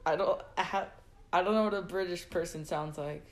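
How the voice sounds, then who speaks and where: A woman co-hosting a podcast, casually bantering and giving flat denials. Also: fairly low pitch, moderate pace. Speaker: podcast co-host. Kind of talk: casual joking chat.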